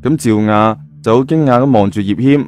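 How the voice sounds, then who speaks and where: A man's voice: mid-range voice, brisk, darkish tone, faint music behind. A man narrating an audio drama in a colloquial storytelling style.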